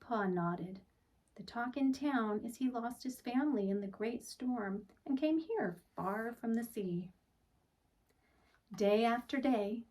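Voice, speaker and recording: A woman reading a picture book aloud for children in an expressive, deliberate storytelling manner, with phrases that often end softly. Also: fairly high pitch, distant mic, low-quality recording.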